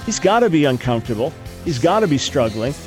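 A man's voice: a little high, very animated, fast talker, over music. An older man hosting call-in talk radio, sounding congested, arguing emphatically with frequent restarts. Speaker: radio talk show host.

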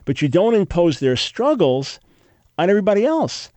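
A man's voice: high-pitched, sing-song, normal speed, dark tone, no room sound. An older man hosting call-in talk radio, sounding congested, arguing emphatically with frequent restarts. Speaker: radio talk show host.

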